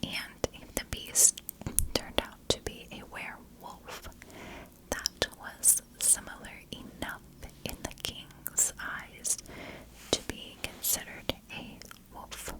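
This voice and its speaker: A woman narrating a story as ASMR in a soft, unvoiced whisper, speaking deliberately in short phrases. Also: low-quality recording. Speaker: ASMR storyteller, channel host.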